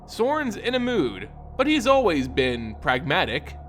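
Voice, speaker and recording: A man's voice: high-pitched, wildly swooping pitch, unhurried, a bit bright, a little hiss or hum, heavily acted. A man voicing a wise, reasonable male mage in a fantasy story, wry and diplomatic. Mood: reasoning. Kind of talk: fantasy storybook character reading.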